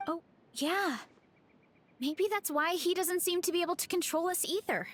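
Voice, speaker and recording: A woman's voice: extremely high pitch, moderate pace, fairly bright tone, slight background noise. A woman voicing an easygoing young farm girl adventurer in a video game, casual and thinking aloud. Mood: wondering. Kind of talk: scripted video game voice acting. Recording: music behind.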